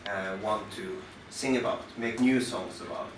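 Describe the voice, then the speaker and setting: A Norwegian-accented man speaks from a stage. He talks slowly and deliberately, pausing and restarting as he thinks. The sound is caught from far off in a roomy venue, with faint noise around it. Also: lowish voice. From western Norway. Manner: halting and thoughtful, many pauses and restarts. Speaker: musician introducing his work on stage. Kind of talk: unscripted stage talk to a concert audience. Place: concert club venue. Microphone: stage microphone through the house speakers, recorded from the audience.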